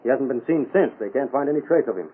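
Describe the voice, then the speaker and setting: A defensive, irritable male chemist in a 1940s radio play. He snaps quickly and stiffly in a husky voice, through narrow phone-like sound. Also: mid-range voice, lively intonation. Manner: indignant, stiff. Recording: old radio, phone-like, muffled.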